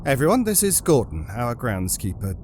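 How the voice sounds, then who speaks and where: A man's voice: mid-range voice, wildly swooping pitch, normal speed, a little mellow. A man plays a timid, genteel master of the house in an audio drama. His voice swoops dramatically, polite and anxious, over faint noise.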